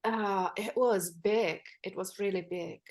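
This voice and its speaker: An unhurried woman in her forties speaks slowly and reflectively as an interview guest. A native Polish speaker from the Czech Republic, she talks with an American-tinged English accent. She pauses often and fills the gaps with small hesitations. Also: medium pitch, fairly even intonation. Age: 40s. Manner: measured, pausing with frequent fillers.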